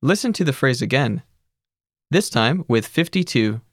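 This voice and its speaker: A narrator giving measured, scripted instructions in a language course. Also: medium pitch, dry recording, clean studio sound. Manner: even, measured teacherly instructions.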